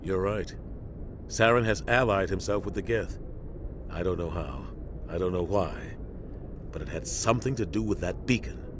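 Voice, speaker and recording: An actor playing a grave, loyal senior starship captain in a video game, authoritative and deliberate. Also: male voice, low-pitched, natural voice. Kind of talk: sci-fi video game acting. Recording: clear engine hum behind voice.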